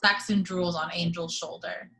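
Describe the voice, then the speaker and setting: Bright woman reading stage directions. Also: fairly low pitch, normal speed, vocal fry. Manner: steady, matter-of-fact reading. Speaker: reader of the action description. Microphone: video call.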